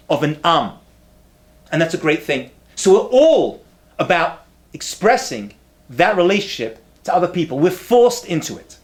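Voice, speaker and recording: Animated rabbi lecturing with lively pitch. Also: male voice. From not from America. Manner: lively, punchy repetition, humorous asides. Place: indoor study with bookshelves.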